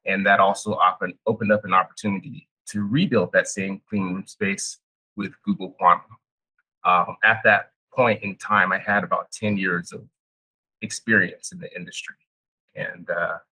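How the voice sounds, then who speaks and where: A man speaking as a panelist in a halting way, often repeating words as he thinks. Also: deep voice, little pitch movement, sharp tone, rough recording. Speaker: panelist, clean room engineer. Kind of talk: casual panel talk.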